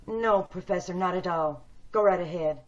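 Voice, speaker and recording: A woman's voice: fairly low pitch, moderate pace, crowd noise, close to an everyday voice. A woman playing a homely, probing woman who yearns for beauty, questioning insistently in old-time radio drama style, over dull video-call audio. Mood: probing, polite.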